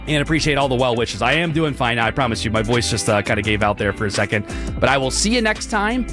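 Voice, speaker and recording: A hoarse young man hosting a late-night talk radio show, apologizing and clearing his throat as his voice gives out. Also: medium pitch, animated, fast talker, music in the background. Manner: apologetic, clears throat often, many restarts. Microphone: studio broadcast mic.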